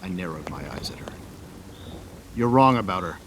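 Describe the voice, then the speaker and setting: A man's voice: low-pitched, animated, moderate pace, noisy background. A man playing a hot-tempered warrior who narrates his own battles in an audio drama, intense and sardonic. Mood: cold, defiant.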